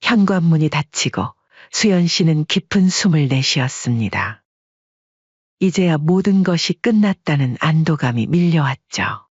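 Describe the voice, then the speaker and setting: Measured woman storyteller, husky voice, dry muffled read. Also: low voice, lively intonation, no echo. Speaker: narrator. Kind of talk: scripted storytelling narration.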